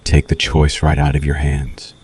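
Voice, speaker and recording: A man playing a seductive, menacing male vampire in an ASMR roleplay, speaking deliberately and broodingly with teasing, dramatic pauses. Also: a bass voice, monotone, a little mellow, breathy edge. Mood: ominous, tempting. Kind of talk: slow ASMR roleplay monologue.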